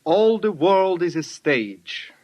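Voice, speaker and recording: An actor playing a sardonic, gloomy older foreign professor who complains drily and dramatically in a 1940s radio sitcom. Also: male voice, sits high, sing-song, slow, a little mellow, muffled recording, heavily acted. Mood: mournful, wry. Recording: very noisy vintage 1940s radio recording.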